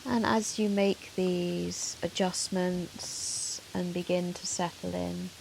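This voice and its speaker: A woman yoga teacher leading a guided meditation in a soothing, measured, gentle manner with long pauses. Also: fairly low pitch, flat delivery, gravelly, rough recording. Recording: soft hiss of rain noise. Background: soft rain sound.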